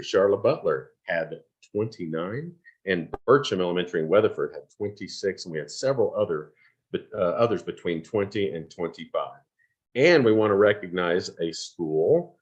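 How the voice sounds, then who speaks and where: A man hosting an online award announcement, speaking off the cuff in a folksy, appreciative way. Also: lowish voice. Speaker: award program host. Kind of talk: unscripted announcing at an online event.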